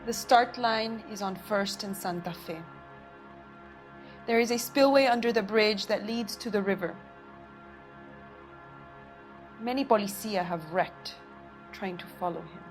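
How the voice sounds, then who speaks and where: A woman's voice: medium pitch, moderate pace, natural voice. A woman playing a defiant, loyal young pregnant girlfriend in a game drama. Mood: resigned. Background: background music.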